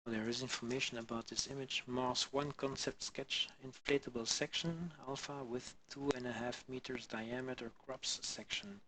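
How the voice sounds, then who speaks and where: A man from Belgium chatting casually with his live-stream viewers on a headset mic while modelling, halting and full of fillers. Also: mid-range voice, gravelly, pushed and bright, poor audio. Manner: halting, many fillers, answers chat viewers. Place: home in the Alpine region.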